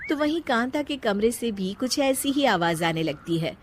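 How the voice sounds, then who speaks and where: A woman's voice: a little high, expressive, normal speed, over loud music. A woman narrating a scripted family story as a dramatic storyteller.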